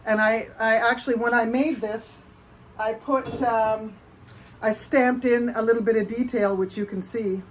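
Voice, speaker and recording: A woman's voice: on the high side, fairly even intonation, unhurried, soft background music, rough recording. A woman with a Canadian accent doing a casual live show-and-tell as a potter, chatty and rambling, often breaking off mid-sentence. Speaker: potter hosting a livestream. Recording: noticeable background noise.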